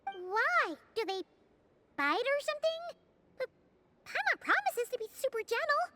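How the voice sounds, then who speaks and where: Squeaky, excitable little fairy girl. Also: measured pace, heavy background noise, exaggerated voice. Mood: wary, indignant. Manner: squeaky, exclaiming, refers to herself in third person. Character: tiny excitable childlike fairy companion, female, talkative and dramatic. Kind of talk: video game character dialogue.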